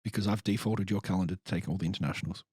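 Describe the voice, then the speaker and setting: Australian man, husky voice, dry close recording, chatty sweary storyteller. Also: low-pitched, fairly even intonation, very fast, a little mellow, no echo. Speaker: physique coach, podcast co-host. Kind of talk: casual podcast chat.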